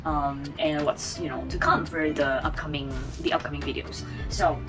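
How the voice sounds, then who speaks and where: A woman's voice: sits low, flat delivery, moderate pace, music in the background, poor audio. A woman in her twenties from Taiwan, chatting to camera as a vlogger, rambling with many fillers and restarts. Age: 20s. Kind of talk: casual chat to camera.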